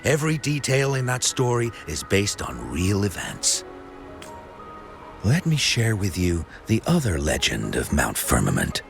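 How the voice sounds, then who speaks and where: A theatrical storyteller of old legends in a video game. His very low voice swings widely as he speaks in a measured, mysterious way. Music plays clearly behind him. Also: huge pitch swings, full character voice. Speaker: storyteller character.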